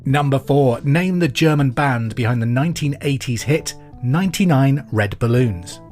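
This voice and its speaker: Guy hosting a trivia podcast, really up and down delivery, clear deliberate reading, bit of guitar music behind. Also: mid-range voice, expressive, a little mellow. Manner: repeats questions clearly and deliberately. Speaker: podcast quiz host. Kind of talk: pub quiz question reading, scripted presenting.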